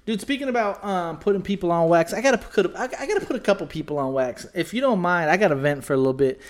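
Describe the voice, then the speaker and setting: A man's voice: high voice, lively intonation, fast talker. A man co-hosting a casual podcast chat, congested with a scratchy throat, rambling with lots of restarts and casual swearing. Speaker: podcast co-host.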